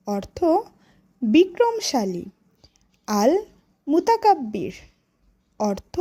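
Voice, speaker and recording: A woman teaching a lesson for children, reciting deliberately and instructively, word by word. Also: sits high, dark tone. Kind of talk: children's educational lesson, reciting names with meanings.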